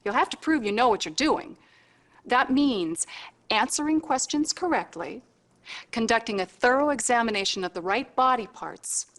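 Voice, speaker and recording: Brassy, wisecracking hospital nurse. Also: female voice, a little high. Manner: sassy, bossy, informal. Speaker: admitting nurse. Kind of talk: scripted video game cutscene acting.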